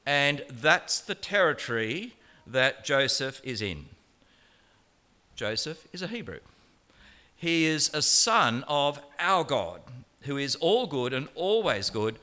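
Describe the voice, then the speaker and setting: Animated male preacher with a bright voice. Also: medium pitch. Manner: rhetorical questions and repeated phrases for emphasis; wry, colloquial humor. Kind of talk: conversational sermon on a Bible passage.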